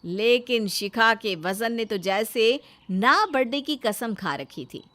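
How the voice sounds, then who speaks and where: High-voiced woman storyteller. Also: animated, slow pace, pushed and bright. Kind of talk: scripted moral-story narration.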